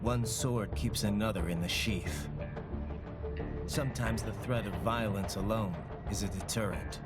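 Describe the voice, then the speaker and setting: Low-voiced stoic cyborg soldier played by a man. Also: a little slow, close to an everyday voice. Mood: calm, earnest. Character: stoic male cyborg soldier, philosophical, calm bodyguard. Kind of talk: video game cutscene acting. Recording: loud music over the dialogue.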